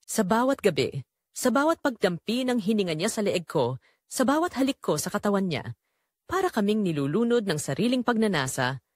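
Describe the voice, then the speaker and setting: A Filipino woman narrates a dramatic first-person story. Her pitch is mid-range but moves widely, and she delivers short, emotive phrases with deliberate care. The recording is dry and close, with little sense of a room. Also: sing-song, no room sound.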